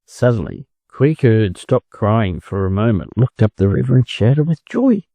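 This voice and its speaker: Australian male storybook narrator, mellow tone, lively range, close dry sound. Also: mid-range voice, normal speed, no echo. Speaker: storybook reader. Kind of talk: expressive children's story narration.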